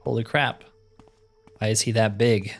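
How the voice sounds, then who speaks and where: A man's voice: on the low side, fairly even intonation, measured pace, gravelly. A man giving casual playthrough commentary as a video game host, thinking aloud with self-deprecating humor. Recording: music behind the voice. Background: game music.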